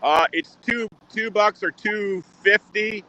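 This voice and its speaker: A man's voice: high-pitched, takes it slowly, bright, forceful tone. A man chatting casually as a livestream guest while out on a scooter. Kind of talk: casual chat. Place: outdoors on a scooter. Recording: dull lo-fi mobile stream.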